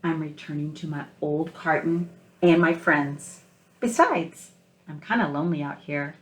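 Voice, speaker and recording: A kindly male egg tells his own storybook tale, read aloud by a woman. The delivery is earnest and expressive for children, with emphatic, deliberate phrasing in a lowish female voice. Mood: resolved.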